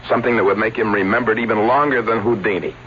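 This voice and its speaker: An actor playing a wry, regretful publicity agent confessing to a detective in an old-time radio drama. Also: male voice, fairly low pitch, fairly even intonation, quick pace, fairly bright tone, lightly acted. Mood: wry, confessional. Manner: colloquial, dramatic. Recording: muffled vintage radio recording, faint hiss.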